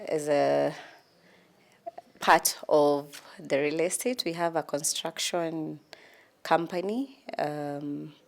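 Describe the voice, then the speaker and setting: A Kenyan woman in her thirties, a lawyer answering interview questions in a relaxed, hesitant way with many ums. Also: sits low. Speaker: guest, lawyer.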